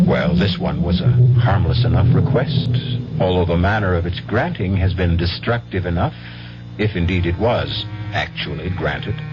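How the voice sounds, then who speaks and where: A man narrating as the grave, measured, portentous host of a 1970s radio mystery series. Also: deep voice. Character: grave, ominous storyteller host of mystery tales. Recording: muffled 1970s radio broadcast over music.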